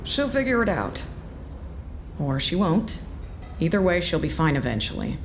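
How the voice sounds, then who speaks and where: A woman's voice: fairly low pitch, sing-song, normal speed, a little hiss or hum. A woman acting in an audio drama as a blunt, practical leader, terse and matter-of-fact. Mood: blunt, resigned.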